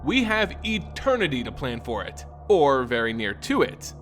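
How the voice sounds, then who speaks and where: Man voicing a lofty, calm old vampire aristocrat. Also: mid-range voice. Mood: calm, dismissive. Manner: measured, dismissive, lofty. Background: background music.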